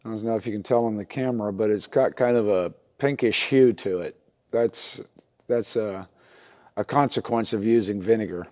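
A man in his fifties giving a casual, plainspoken, step-by-step repair tutorial at an unhurried, instructional gait. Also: lowish voice, darkish tone. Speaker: instructor demonstrating lantern repair. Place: indoor wooden workshop at a workbench.

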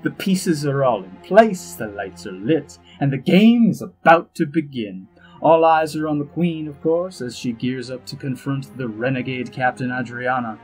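Male actor as elf ambassador, theatrical, arch, self-satisfied, flowery speech, husky voice, audio drama, soft music behind. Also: mid-range voice, animated, normal speed, rough recording. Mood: wry relish. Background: music under the voice.

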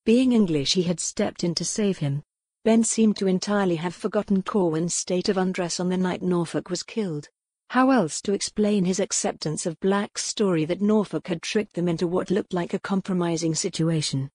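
A woman's voice: on the low side, moderate pace, darkish tone, husky, dry recording, studio quality. A woman narrating a historical novel as scripted dramatic audiobook fiction.

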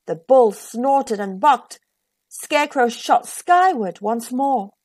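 A woman with a high voice narrates a children's storybook. She reads slowly and expressively, with lots of melodic rise and fall. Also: expressive, a little mellow. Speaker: children's storytime host and reader.

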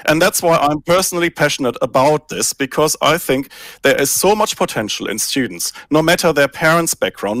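A man's voice: a little high, a bit bright, no echo. A man in his forties from Germany, speaking earnestly and emphatically as a guest on a video call from a small room. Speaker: think tank guest. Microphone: headset on a video call.

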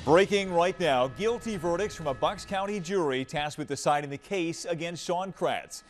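A man's voice: fairly high pitch, moderate pace, soft background music. An American man anchoring television news in a scripted delivery.

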